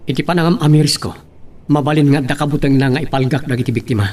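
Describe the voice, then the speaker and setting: A man with an Ilocano accent playing a calm, analytical man in a radio drama. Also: fairly high pitch, quick pace, close to an everyday voice. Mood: reasoning, reassuring.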